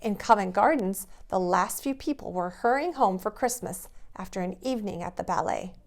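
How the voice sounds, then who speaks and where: A woman reading a storybook aloud to young children in an expressive storytelling manner. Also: mid-range voice, moderate pace.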